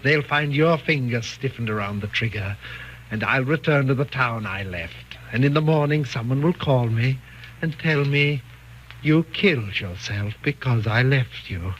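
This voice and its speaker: An actor playing a domineering, murderous hypnotist in a 1940s radio thriller, slow, commanding and coaxing. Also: male voice, medium pitch, expressive, fairly bright tone. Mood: menacing, controlling. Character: sinister, domineering male hypnotist with murderous calm. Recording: dull, noisy 1940s radio recording.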